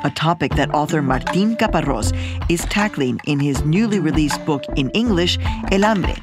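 A woman's voice: sits low, lively intonation, normal speed, music in the background. A woman hosting a radio program, reading scripted narration and putting questions to a guest. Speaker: radio host.

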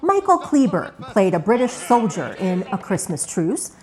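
A woman's voice: medium pitch, very animated, normal speed, a little mellow. A woman hosting and narrating a radio documentary from a script. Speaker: host and narrator of a radio retrospective.

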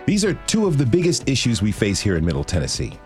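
A man's voice: mid-range voice, huge pitch swings, quick pace, over music. A man hosting a public radio show, presenting and interviewing guests.

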